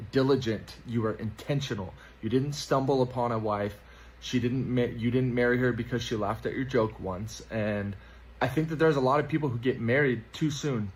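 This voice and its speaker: A man in his thirties with a mid-range voice speaks as a livestream guest. He talks in a thoughtful, measured way, reasoning aloud. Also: medium pitch, slight background noise, rough recording. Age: 30s. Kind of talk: reflective monologue.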